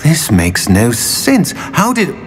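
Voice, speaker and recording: An actor playing a grave old wizard in a video game, speaking in a formal, dramatic manner. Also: male voice, on the high side, huge pitch swings, slow, soft background music, full character voice. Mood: urgent alarm. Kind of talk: video game character acting.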